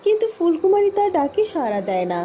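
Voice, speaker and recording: A woman's voice: very high voice, sing-song, fast talker, mellow. A woman narrating a scripted cartoon story. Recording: music behind the voice.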